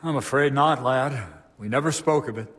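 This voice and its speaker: A man's voice: medium pitch, moderate pace, a bit bright, close to an everyday voice. A man playing a devout, troubled abbot in a medieval video game drama, speaking in a solemn, formal, pious way. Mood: regretful.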